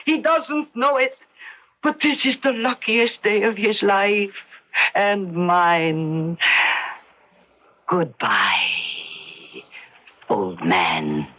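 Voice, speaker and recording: Vain intense ex-ballerina in an old radio play, really lively pitch, muffled sound. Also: female voice. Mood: defiant. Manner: theatrical, intense, deliberate. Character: vain fifty-year-old former ballerina, nervous, magically rejuvenated, defiant. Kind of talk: radio drama acting. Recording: dull old radio recording.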